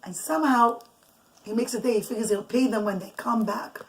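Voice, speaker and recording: A woman with a fairly high voice tells a bedtime story as a mother would to her children. She is animated and conversational, improvising as she goes and dropping in Hebrew and Yiddish religious words. There is some background noise on the homemade recording. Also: a little fast, low-quality recording. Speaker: mother telling bedtime stories to children and listeners. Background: noise, clicks, small children nearby.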